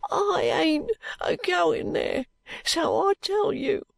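A woman voicing a sick, frightened poor London boy in an audiobook, with a hoarse, muttering, slow drawl. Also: extremely high pitch, wildly swooping pitch, no echo, clean studio sound, voice pushed up, exaggerated voice. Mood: fearful, stubborn. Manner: slow, drawling uneducated dialect. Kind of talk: audiobook character voice.

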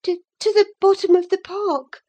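A woman's voice: high-pitched, unhurried, dark tone, pitched above the performer's own voice. A woman voicing an anxious, pleading teenage girl in an audiobook, earnest as she confesses to her nurse. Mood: nervous, defensive. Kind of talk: dramatised audiobook character voice.

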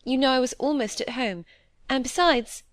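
Woman as young girl, sweet, earnest, higher pitch, audiobook character, dry recording. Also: moderate pace, dry recording, lightly acted. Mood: fond, earnest. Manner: earnest, pleading. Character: sweet, earnest young daughter, warmly loyal.

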